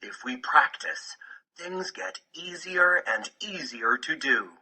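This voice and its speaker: A man's voice: fairly high pitch, lively intonation, takes it slowly, bright, forceful tone, husky, poor audio. A man narrating a children's picture book from a script. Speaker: audiobook narrator.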